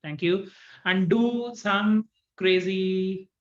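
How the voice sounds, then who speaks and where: A man with a fairly high voice speaks as an event host over a video call. His delivery is polite and instructive, and the sound is very roomy and slightly dull. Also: extremely slow, a bit bright. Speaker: contest host. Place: online video call from an echoey room.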